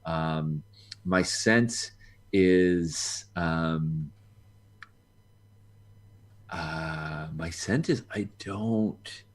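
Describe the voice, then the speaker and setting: A man's voice: low voice, extremely slow, pauses often. A man playing a tabletop game, talking casually and hesitantly with many fillers. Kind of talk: casual actual-play chat.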